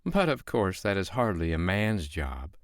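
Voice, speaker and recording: A man voicing a calm, shrewd foster mother, talking in a sweetly sly, coaxing way. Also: deep voice, measured pace, no room sound, studio quality.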